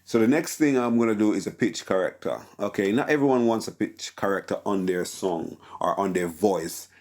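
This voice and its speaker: A man with a deep voice teaching a casual tutorial on mixing vocals, with a relaxed, filler-heavy delivery full of "okay?". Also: normal speed. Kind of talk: casual screen-recorded tutorial.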